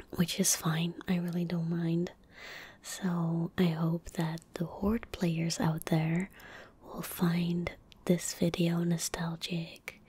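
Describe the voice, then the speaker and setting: A young woman giving soft-spoken ASMR gaming commentary, hushed, gentle and whispery, close to the microphone. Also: on the low side. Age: young adult. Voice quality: breathy, whispery. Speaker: ASMR streamer playing a game. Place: indoor gaming room at home. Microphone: close desk microphone.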